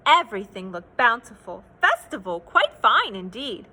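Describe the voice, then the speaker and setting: High, lively woman reading a storybook. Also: moderate pace, background noise. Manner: expressive, playful, sing-song delivery. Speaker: children's read-aloud storyteller. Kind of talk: animated picture-book read-aloud with asides to children.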